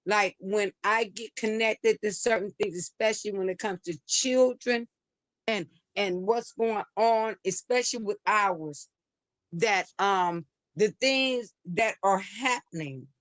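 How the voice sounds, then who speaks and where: A woman's voice: mid-range voice, slow, no echo. Woman, livestream caller, hesitant, many fillers, remote call, dry sound. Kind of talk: call-in commentary. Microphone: remote call into a streaming studio.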